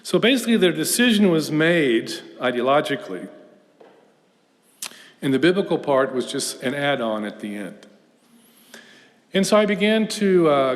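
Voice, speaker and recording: A middle-aged man from Houston giving a conference talk as guest speaker, telling stories in a relaxed, self-deprecating way with fillers. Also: a little high. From Houston, Texas, USA. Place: lecture hall. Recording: lecture hall with long reverb.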